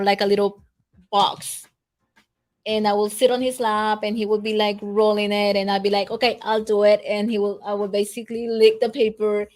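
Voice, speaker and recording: A middle-aged woman from El Salvador, quietly recalling her childhood in a casual interview. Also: medium pitch. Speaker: guest, mother of a guest.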